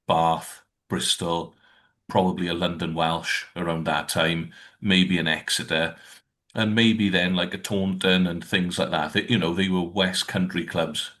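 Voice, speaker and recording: A very deep-voiced man talks about rugby on a podcast. His delivery is flat and rambling, full of hesitations. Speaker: podcast co-host. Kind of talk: casual rugby chat.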